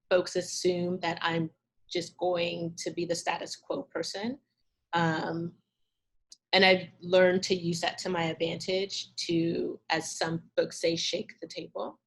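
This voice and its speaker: Slow, measured woman curator. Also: fairly low pitch, poor audio. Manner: thoughtful, measured, pauses often with fillers. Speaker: arts curator, guest speaker. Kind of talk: answering audience questions in a talk.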